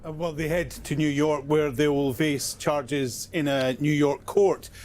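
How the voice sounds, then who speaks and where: A male news correspondent reporting live, measured and steady, with some hesitant fillers. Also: on the high side.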